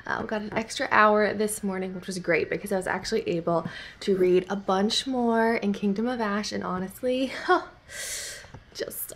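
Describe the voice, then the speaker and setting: A young woman vlogging casually to a handheld camera at home, chatty and rambling, with a stuffy nose and a sore throat. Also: mid-range voice. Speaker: book vlogger. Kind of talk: casual vlog chat to camera. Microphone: handheld camera held at arm's length.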